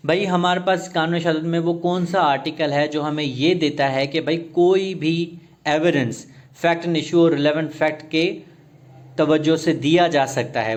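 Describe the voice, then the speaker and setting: A man with a Pakistani accent lecturing as an exam tutor, reading out questions and explaining them, repeating and rephrasing as he switches between two languages. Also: fairly high pitch.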